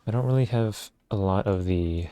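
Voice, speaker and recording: Very deep-voiced low-key male streamer. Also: normal speed, dark tone, clean tone. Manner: low-key, thinking aloud. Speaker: livestreamer. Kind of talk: casual gameplay commentary.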